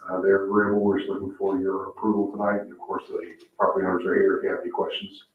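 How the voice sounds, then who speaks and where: A man with a lowish, flat voice reads out a procedural report to a board. He is matter-of-fact and halting, heard from a distance in a reverberant room with some background noise. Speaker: town staff member presenting to a board. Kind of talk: procedural staff report at a public meeting. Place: indoor municipal meeting room. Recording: muffled, echoey, noisy room, distant mic.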